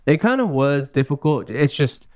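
A man from Switzerland, a guest telling stories in a relaxed, easygoing way on a casual podcast interview. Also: medium pitch, normal speed. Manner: relaxed, easygoing storytelling with frequent "you know". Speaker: podcast guest, live show dancer.

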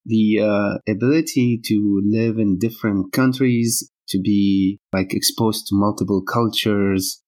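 A man's voice: on the low side, steady tone, a little slow, few pauses, a little mellow. A middle-aged man from Syria, a physician guest answering interview questions in a hesitant way with many fillers. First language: Arabic.

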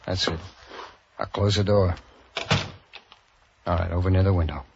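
A man's voice: low-pitched, moderate pace, creaky, background noise. An actor playing a tough but regretful male gunman with a terse, menacing delivery in a 1940s radio noir. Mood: menacing, calm. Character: tough, regretful gunman betraying his old friend. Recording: dull old-time radio recording.

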